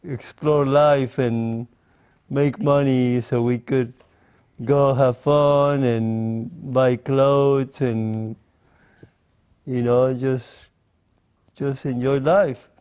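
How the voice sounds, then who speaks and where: Slow-spoken man. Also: medium pitch, a little mellow, smooth. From Mexico. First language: Spanish. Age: 40s. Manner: slow, halting, with many pauses and fillers. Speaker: interview guest. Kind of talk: casual interview conversation.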